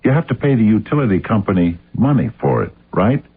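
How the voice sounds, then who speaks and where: An actor playing a hard-nosed, relentless investigator in a radio drama, questioning in a probing, accusatory, clipped way. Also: male voice, fairly low pitch, very animated, a little fast, slight background noise. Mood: accusing. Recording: muffled narrow-band old radio sound.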